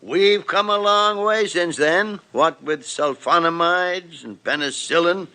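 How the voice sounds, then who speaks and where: An actor playing a gruff older hospital chief doctor in a 1950s radio drama, blustery and teasing. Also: male voice, fairly high pitch, slow pace, pushed and bright. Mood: reassuring. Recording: old radio broadcast recording.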